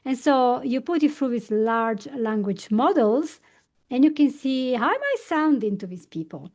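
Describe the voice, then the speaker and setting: Woman, podcast host, slightly high voice, curious, lively, chatty. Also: normal speed. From Italy. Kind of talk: conversational interview.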